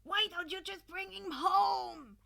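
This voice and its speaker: A woman voicing an exuberant, teasing male teacher in a story, with an emphatic, excitable delivery. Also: extremely high pitch, takes it slowly, gravelly, voice pushed up, full character voice. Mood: earnest, pleading.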